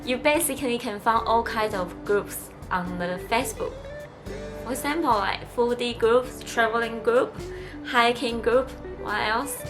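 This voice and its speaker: A woman's voice: on the high side, measured pace. A young woman vlogger whose first language is Mandarin, chatting casually and encouragingly to her viewers, with plenty of fillers. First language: Mandarin Chinese. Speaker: travel vlogger. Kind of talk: casual vlog talk to camera. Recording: music playing behind.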